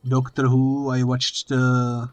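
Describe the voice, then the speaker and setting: A man who is not a native English speaker, playing a game together with a streamer and joking in relaxed, plain speech. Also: mid-range voice, slow, soft background music. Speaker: co-op guest player. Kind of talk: casual gaming chat.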